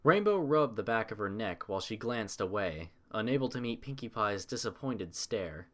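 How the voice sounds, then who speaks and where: A man's voice: on the low side, lively intonation, moderate pace, fairly bright tone. A man narrating a scripted story as its narrator.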